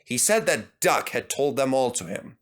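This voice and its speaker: A man's voice: mid-range voice, very animated, normal speed. A man narrating a scripted children's story as a storyteller. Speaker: storyteller, fandub reader.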